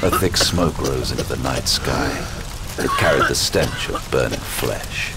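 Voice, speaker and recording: An actor playing a haunted, hardened detective who narrates a horror audio drama gravely and dramatically. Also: male voice, a bass voice, a little slow, heavy background noise, exaggerated voice.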